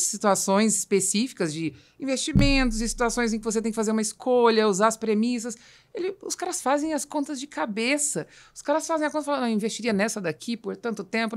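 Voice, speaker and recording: A Brazilian woman hosting a podcast, talking conversationally about business and explaining with anecdotes and emphatic repetition. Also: on the high side. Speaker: podcast host.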